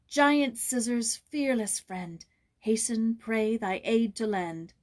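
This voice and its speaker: A woman voicing a fearless, daring young girl in a storybook, breathy and dramatic. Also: a little high, slow, darkish tone. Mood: resolute.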